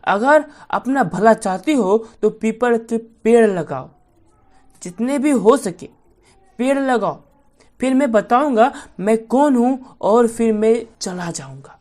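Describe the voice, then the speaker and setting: A woman's voice: on the high side, expressive, slow pace, darkish tone. Woman voicing a boastful, menacing ancient male demon. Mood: commanding. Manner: boastful, threatening declarations.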